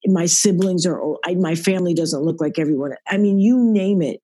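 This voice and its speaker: Woman with a deep raspy voice. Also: brisk, mellow, no room sound, clean studio sound. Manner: earnest, faith-centered, speaks in lists. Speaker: guest. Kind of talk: reflective podcast interview. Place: at work.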